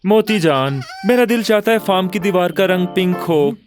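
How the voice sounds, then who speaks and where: A whimsical cartoon animal leader voiced by an actor, playful and bossy, coaxing and exclaiming in a middle-pitched voice. Faint music sits behind the dubbed lines. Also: animated, normal speed, natural voice. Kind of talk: dubbed children's cartoon acting.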